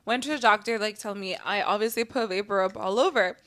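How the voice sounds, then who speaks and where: A young woman co-hosting a casual chat podcast, telling stories in an animated, giggly way and swearing freely. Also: medium pitch, fairly quick. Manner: animated, giggly, profane storyteller. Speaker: podcast co-host.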